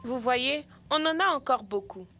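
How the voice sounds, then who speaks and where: A woman's voice: sits high, expressive, moderate pace, bright, forceful tone, soft background music. A woman voicing an easygoing young animal friend in a French children's cartoon, encouraging and reassuring.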